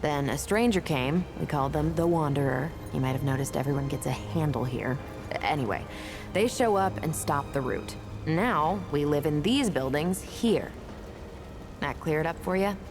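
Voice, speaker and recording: An actress playing a gruff, prickly weapons tinkerer, an impatient loner in a video game, with blunt sardonic delivery. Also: female voice, low voice, expressive, moderate pace, over music. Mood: irritated, brusque. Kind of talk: video game character dialogue.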